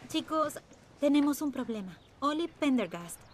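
A woman's voice: lively intonation, normal speed, a little hiss or hum. A preachy devout teen girl. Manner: earnest, preachy, rising to shrill. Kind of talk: Spanish film dub.

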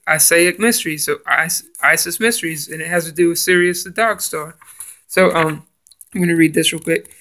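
A man's voice: fairly high pitch, fairly bright tone. A man reading passages from a book aloud and adding his own comments, stumbling and restarting on hard names. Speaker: religious video maker. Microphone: phone camera filming a book page.

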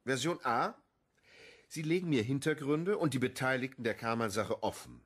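An actor playing a cynical, menacing police official in a radio crime drama, taunting and deliberate. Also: male voice, medium pitch, husky, lightly acted. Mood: smug, threatening.

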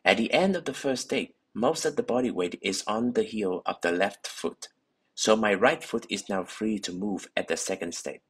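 Husky low-voiced man explaining technique. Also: normal speed. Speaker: bowling coach. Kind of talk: scripted instructional narration.

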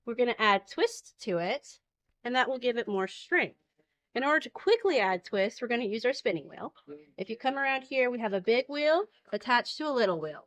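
A woman in her thirties with a fairly high voice talks through a hands-on craft demonstration. She explains each step in plain, informal language, picked up by a camera a short way off indoors. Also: big pitch swings, a little fast, a bit bright. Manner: explanatory, uses simple everyday comparisons. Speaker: fiber spinning demonstrator. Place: indoor farm shop with concrete floor. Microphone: handheld camera a short distance away.

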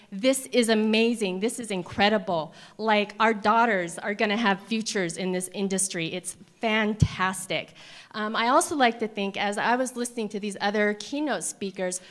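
A woman's voice: mid-range voice, normal speed. A woman in her thirties giving a conversational, enthusiastic keynote about her career, speaking at a lectern microphone in a large ballroom. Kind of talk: personal career talk. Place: large hotel ballroom stage.

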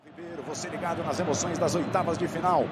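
A Brazilian man calling football goals on a broadcast, shouting excited goal calls. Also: on the high side, quick pace, heavy background noise. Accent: Brazilian Portuguese. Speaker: play-by-play commentator. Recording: broadcast over loud stadium crowd. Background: roaring stadium crowd.

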